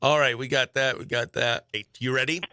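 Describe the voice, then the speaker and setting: Bright-voiced man hosting morning radio. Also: medium pitch, fairly even intonation, a little fast, dry recording. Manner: informal slangy, exclaims dude and oh heck. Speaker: radio morning show host. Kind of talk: upbeat casual radio contest banter. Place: small radio studio, close dry mic.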